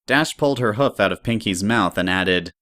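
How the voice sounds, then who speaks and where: Casting: storybook narrator, extremely low voice, close and dry. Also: moderate pace, a bit bright, dry recording.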